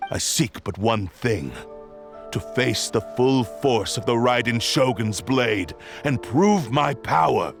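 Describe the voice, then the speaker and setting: A man's voice: mid-range voice, lively intonation, takes it slowly, gravelly. A man playing an arrogant, vengeful sentient sword that possesses people, speaking in a grandiose, menacing villain style for a video game. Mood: scornful, ambitious. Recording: loud background music.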